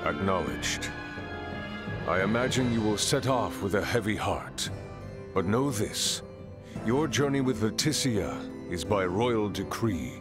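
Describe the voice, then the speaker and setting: Casting: regal game monarch, lowish voice, stately and authoritative, loud music underneath. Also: male voice, animated, measured pace. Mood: grave, regal. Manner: formal, stately decrees. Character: regal king, grave, authoritative ruler. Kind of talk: dramatic video game voice acting. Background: loud background music.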